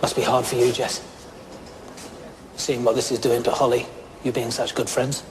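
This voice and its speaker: An actor playing a police detective in an audio drama, casual, kindly and gently probing. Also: male voice, mid-range voice, little pitch movement, normal speed, in a large hall, a little hiss or hum, natural voice, poor audio.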